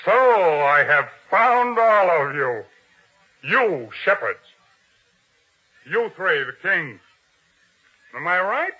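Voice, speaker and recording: A man's voice: sits high, sing-song, very slow, pauses often, pushed and bright, slightly rough, full character voice. A man playing a hard, ambitious, commanding soldier in a 1950s radio drama, curt and sardonic. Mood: hushed awe. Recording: dull, noisy vintage radio drama recording.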